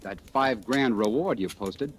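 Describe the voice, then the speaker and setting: A man's voice: mid-range voice, measured pace, natural voice. Actor playing sardonic accused man, noisy old radio. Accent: American. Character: cool, sardonic wrongly accused man turning the tables. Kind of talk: 1940s radio drama acting.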